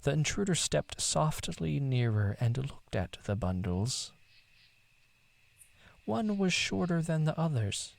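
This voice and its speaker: A man narrating a literary story in a theatrical, deliberate manner. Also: a little high, big pitch swings, vocal fry.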